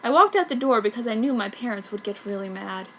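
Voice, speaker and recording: A woman's voice: a little high, expressive, quick pace, a little hiss or hum. A woman reading an amateur story aloud in a dramatic, comic narration style. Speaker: fanfiction reader.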